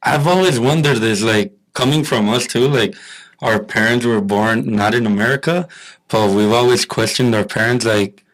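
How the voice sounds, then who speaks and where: A man's voice: mid-range voice, moderate pace, poor audio. A young man hosting a podcast, friendly and slangy, asking his guest questions in a casual tone. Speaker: podcast host.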